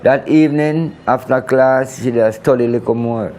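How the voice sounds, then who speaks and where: Male interviewee, Jamaican dialect, mellow mid-range voice, conversational delivery. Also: mid-range voice, normal speed, faint music behind. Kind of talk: conversational recollection.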